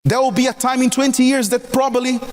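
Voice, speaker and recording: A man's voice: extremely high pitch, steady tone, fast, in a large hall. A man from Brazil with a Brazilian accent, a native Portuguese speaker, giving emphatic marriage advice and asking the listener rhetorical questions. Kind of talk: recorded motivational talk.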